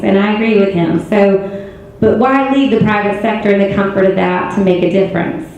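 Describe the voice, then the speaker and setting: Hoarse Southern woman in an echoey hall. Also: medium pitch, darkish tone, a little hiss or hum. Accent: Southern American. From Georgia, US. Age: 40s. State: hoarse, strained voice. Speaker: political candidate. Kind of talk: campaign speech at a forum. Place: city auditorium. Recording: echoey hall, muffled sound.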